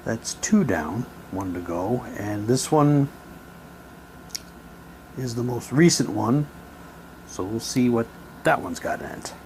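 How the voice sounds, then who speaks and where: A man's voice: mid-range voice, animated, takes it slowly, pauses often. A man in a casual unboxing commentary, reading documents aloud and musing to himself as he goes. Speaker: reviewer showing mail-order radio drama props. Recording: clear background noise, room ambience.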